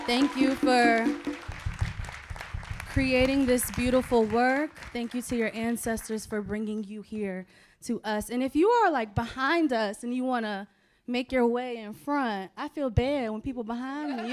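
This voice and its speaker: A woman informally facilitating a live audience, conversational and encouraging people to take part. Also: a little high. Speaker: stage manager leading post-show conversation. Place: live event space before an audience.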